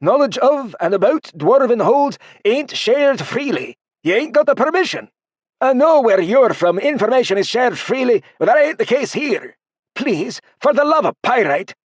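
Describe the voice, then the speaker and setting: A man's voice: extremely high pitch, very animated, normal speed, no room sound, studio quality, pitched above the performer's own voice, heavily acted. A man voicing a stocky, harried male dwarf leader who talks in a bossy, pleading, rustic way. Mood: urgent.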